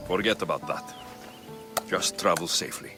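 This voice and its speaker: An actor playing a rugged, blunt but protective mercenary, a reluctant hero, in a dramatic game cutscene. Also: male voice, low-pitched, fairly even intonation, normal speed, over music, lightly acted. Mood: blunt, guarded. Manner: blunt, dry. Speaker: lead character in an action-adventure game.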